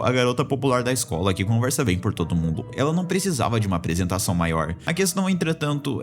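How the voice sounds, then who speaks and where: A young man with a Brazilian Portuguese accent narrating an informal, opinionated video essay as the channel's host. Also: mid-range voice. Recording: voice-over with music behind. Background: background music.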